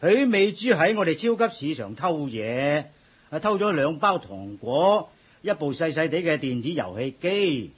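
A blustering middle-aged shop manager barks orders and scolds in a husky, fairly high voice. He is bossy and officious, a radio drama character. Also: sing-song, unhurried, heavily acted. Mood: explaining. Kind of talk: 1980s radio drama acting.

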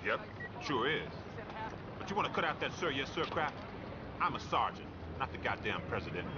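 An actor playing a gruff, blunt army squad sergeant who gives commands in a military video game. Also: male voice, medium pitch, sing-song, moderate pace, highs cut off, lightly acted. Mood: dry, blunt. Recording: clear vehicle and outdoor noise behind.

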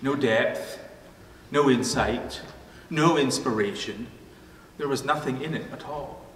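A man's voice: medium pitch, takes it slowly. Pastor preaching in an echoey church, male. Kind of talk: sermon. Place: church room at a wooden pulpit. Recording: echoey church hall, distant mic, faint noise.

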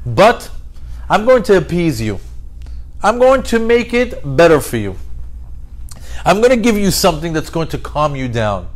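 A man voicing God as a patient creator who makes soothing, deliberate promises in a religious story. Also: a little high, very animated, voice pushed up. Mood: reassuring.

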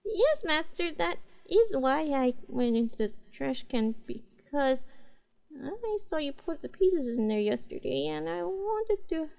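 High-pitched, earnest cute cat-girl. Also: sing-song, creaky, a little mellow, full character voice. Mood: earnest, eager. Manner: hesitant, childlike, halting phrasing. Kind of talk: roleplay audio drama, speaking to the listener.

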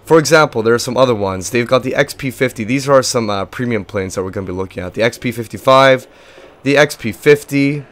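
A young man with a lost voice and little pitch movement, hosting a casual game walkthrough in a chatty, rambling way. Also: a little fast. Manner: rambling, chatty, filler-heavy. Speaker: gaming video host.

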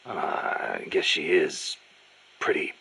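A man playing a gruff, fatherly veteran soldier in a video game, gravelly and raspy, speaking in a measured, reassuring way. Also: rumbling low, big pitch swings, bright, forceful tone, heavily acted, rough recording. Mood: awkward. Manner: measured, reassuring, halting on awkward subjects.

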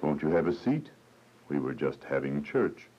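An actor playing a kindly, devout, welcoming prisoner with a gentle fatherly manner in a radio drama. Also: rumbling low, sing-song, normal speed. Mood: warm, welcoming. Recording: dull lo-fi, faint background noise.

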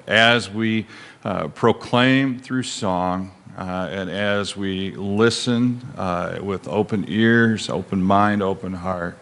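A middle-aged man leading spontaneous prayer in church, with a halting, measured delivery. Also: fairly low pitch, creaky, fairly bright tone. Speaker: prayer leader at a church service. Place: church sanctuary, indoors.

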